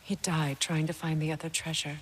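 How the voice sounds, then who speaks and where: A woman playing a proud, steely warrior goddess in a video game, measured and pointed. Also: low-pitched, little pitch movement, slight background noise, close to an everyday voice. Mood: calm, sincere. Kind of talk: video game cutscene acting.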